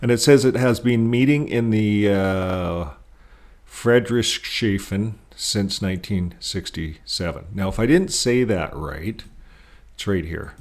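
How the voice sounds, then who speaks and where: Low-voiced man rambling through commentary. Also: takes it slowly. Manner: rambling, thinking aloud. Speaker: online commentator. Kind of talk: casual commentary while reading from web pages.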